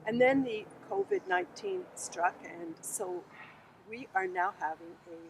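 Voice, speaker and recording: Woman author, animated and gravelly, informal launch introduction, faint vehicle noise behind. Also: medium pitch, measured pace.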